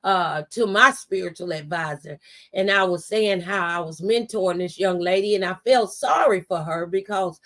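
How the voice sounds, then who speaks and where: A woman's voice: lowish voice, moderate pace, fairly bright tone. A woman hosting a faith-based motivational conversation, testifying with rhetorical questions and repeated phrases. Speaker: talk show host and mentor.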